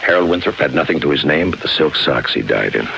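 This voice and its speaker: Tough american police detective, real low voice, deadpan and terse, old noisy 50s radio crime show. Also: male voice, fast talker, creaky, exaggerated voice. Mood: guarded, curt. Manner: clipped, deadpan. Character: hardboiled male homicide police lieutenant, terse and unsentimental. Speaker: lead actor and narrator. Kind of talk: 1950s radio crime drama acting, noir narration. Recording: noisy 1950s radio broadcast recording.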